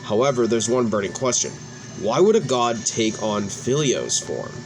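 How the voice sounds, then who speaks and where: Male gaming host, mid-pitched, reads story dialogue aloud with the odd stumble, music behind him. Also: expressive, moderate pace. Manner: stumbles over and restarts words while reading. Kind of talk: reading game cutscene dialogue aloud in a let's play.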